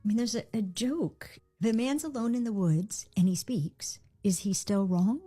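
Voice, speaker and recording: Mellow-voiced woman in a played clip. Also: mid-range voice, moderate pace, slightly rough, soft background music.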